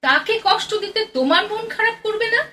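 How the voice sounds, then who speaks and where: A woman's voice: very high voice, animated, a little fast, pushed and bright, full character voice. A woman playing an affectionate, teasing older mother in a radio play, scolding and coaxing in short colloquial bursts. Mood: tender, coaxing. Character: affectionate, teasing, plain-spoken older mother of a grown son. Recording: distant mic, very noisy audio.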